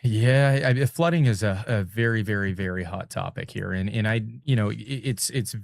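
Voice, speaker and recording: A man's voice: lowish voice, moderate pace, vocal fry, no echo, studio quality. A man in his forties from Detroit, talking in a casual interview as a guest and often repeating words as he goes. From Detroit, USA. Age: 40s. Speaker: guest, former pro hockey player.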